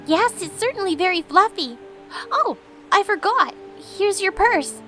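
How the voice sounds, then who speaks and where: A friendly talking cat acted out by a woman with a high, lilting voice. It sounds agreeable and matter-of-fact, like a storybook game companion. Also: lively intonation, a little slow, sharp tone, faint music behind, heavily acted. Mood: helpful.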